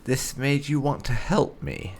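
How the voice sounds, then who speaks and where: A gaming host with a creaky voice, reading role-played lines aloud and adding amused, casual asides during a playthrough. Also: mid-range voice, expressive, measured pace, a little hiss or hum.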